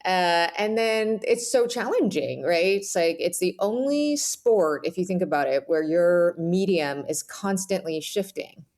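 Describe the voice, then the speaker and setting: Low-voiced woman, reflective interview guest. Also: animated, a little slow, hardly any pauses, darkish tone. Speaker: podcast guest, author.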